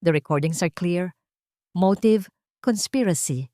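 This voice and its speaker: A woman's voice: lowish voice, unhurried, warm tone, no room sound, clean studio sound, close to an everyday voice. A woman voicing a serious retired policeman turned private investigator in an audio drama, matter-of-fact. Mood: serious, confident.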